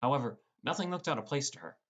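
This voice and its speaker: A man's voice: medium pitch, animated, fast, fairly bright tone. A man reading scripted first-person fantasy narration as an audiobook narrator. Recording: music bed under voice. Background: prominent plucked guitar music.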